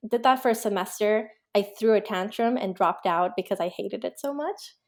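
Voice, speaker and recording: A young woman from Pakistan, an author and illustrator, answering interview questions in a relaxed, reflective way with many ums and likes. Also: medium pitch, normal speed. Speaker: guest, children's book author and illustrator.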